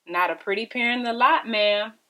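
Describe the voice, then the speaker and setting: A woman's voice: on the high side, moderate pace, pushed and bright, low-quality recording. A woman voicing a kindly, generous male shoe-shop owner in a storybook, polite and folksy. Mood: frank, kind.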